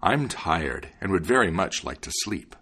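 A man's voice: sits low, sing-song, measured pace, fairly bright tone, slightly rough, exaggerated voice. A man voicing a sad, hungry, weary dog in a storybook tale, in a plaintive, subdued manner. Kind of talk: storybook character voice.

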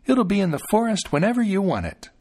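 A man's voice: sits high, sing-song, quick pace, a little mellow, pitched above the performer's own voice, exaggerated voice. A man voicing a tiny, clever, confident boy in a storybook reading, chirpy, insistent and full of exclamations. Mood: eager, confident. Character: tiny, clever, confident thumb-sized boy, eager and cheeky.

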